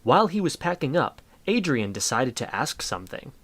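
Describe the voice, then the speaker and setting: Lively male story narrator. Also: medium pitch, moderate pace, dry recording.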